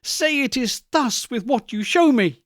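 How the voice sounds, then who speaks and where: A man's voice: very high-pitched, expressive, unhurried, a bit bright, dry recording, studio quality, pitched above the performer's own voice, full character voice. A man voicing a frightened old miser who begs a ghost for answers, earnest and beseeching in an audiobook reading. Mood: fearful begging. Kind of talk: audiobook character voice.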